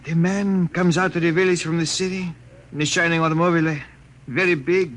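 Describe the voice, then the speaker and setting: An actor playing a young, homesick Mexican labourer in halting, accented English, in 1950s radio drama style. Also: male voice, a little high, steady tone, a bit bright, close to an everyday voice. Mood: wistful. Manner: halting, simple broken English. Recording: noisy vintage 1950 radio recording.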